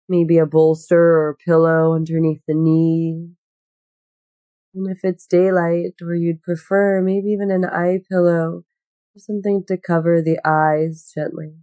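A woman guiding a meditation in a gentle, unhurried, soothing manner with long pauses. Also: on the low side, no room sound. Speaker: yoga nidra meditation guide. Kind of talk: guided meditation.

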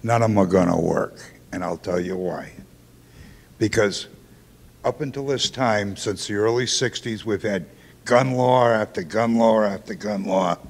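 A man's voice: fairly low pitch, unhurried, vocal fry. An older man speaking bluntly from the floor at a town meeting, holding a handheld mic. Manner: blunt, plain-spoken. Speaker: audience member. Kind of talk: public comment at a town meeting. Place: school auditorium, speaking from the floor. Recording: hall reverb in a large auditorium.

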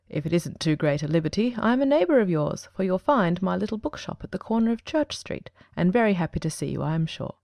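A woman plays an old male bookseller from a storybook, gravelly and swooping in pitch. He is fussy and obliging, forever deferring as he wheedles for a sale. The sound is close and dry. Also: a little mellow, no echo.

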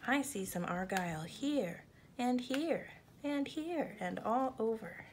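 A woman reading a picture book aloud for children, addressing them as friends and pausing before key words. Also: a little high. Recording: clear background noise, home recording. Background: background noise.

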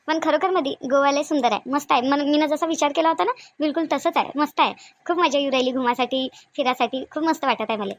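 A woman's voice: sits high, fast, hardly any pauses. A woman voicing a shy, naive young newlywed wife in a cartoon, fretful and exclaiming, with a Varhadi Marathi accent from Vidarbha. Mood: happy. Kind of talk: cartoon dialogue acting in colloquial dialect.

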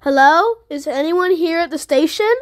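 A woman voicing an anxious young detective in an amateur game cutscene, with earnest, slightly halting delivery. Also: very high voice, no room sound, clean studio sound, pitched above the performer's own voice. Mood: alarmed, uneasy. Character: anxious young detective player exploring monster-infested buildings.

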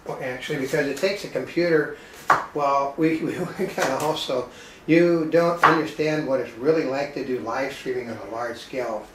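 A man's voice: mid-range voice, normal speed, recorded from a distance, very noisy. A man bantering casually on a live home cooking show, rambling with dry self-mocking jokes. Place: home kitchen, indoors. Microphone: distant camera mic. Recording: roomy kitchen sound from afar.

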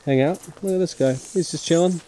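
A man's voice: fairly high pitch, brisk, dark tone, a little hiss or hum, poor audio. A man in his thirties chatting casually as a travel vlogger, talking to a handheld camera. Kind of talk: casual vlog chat. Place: outdoors, on boat and nature trail. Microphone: handheld camera at arm's length.

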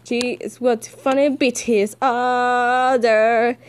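A woman's voice: on the high side, level tone, takes it slowly, clean tone. A woman voicing a theatrical, playfully menacing young comic villain who taunts in a sing-song way. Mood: playful menace.

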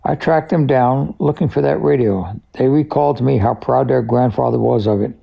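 A man narrates technical history as an expert collector, in a conversational but scripted way. His voice is very dark and mellow, heavily creaky and husky around the edges. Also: mid-range voice, normal speed, poor audio. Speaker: radio collector and expert guest.

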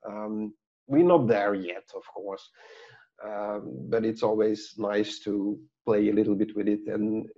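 Gravelly middle-aged Dutch-speaking man. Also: fairly low pitch, steady tone, takes it slowly, mellow. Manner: rambling, many restarts and fillers, chuckles. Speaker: author and guest. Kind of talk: casual interview over video call. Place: office with branded backdrop. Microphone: lapel mic on video call. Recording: dull lo-fi video call audio.

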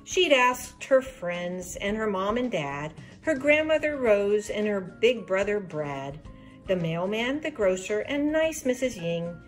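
An older American woman hosting storytime for children, expressive and sing-song as she talks and reads aloud. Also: mid-range voice, takes it slowly, soft background music. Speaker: children's storytime host and reader. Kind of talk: reading a rhyming picture book aloud to children.

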